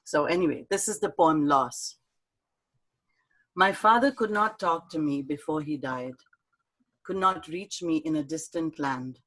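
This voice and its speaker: Woman speaker on a video call, gentle and halting, reads her poem, then answers questions. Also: sits low, poor audio. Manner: soft, halting, warm storytelling with fillers. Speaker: speaker at an online talk.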